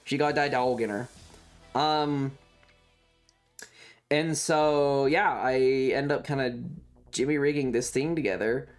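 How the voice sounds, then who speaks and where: A man's voice: mid-range voice, slow pace, long pauses, faint music behind. A man telling a story casually on a livestream, rambling and swearing in an exasperated way, acting out bits of dialogue as he goes. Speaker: streamer.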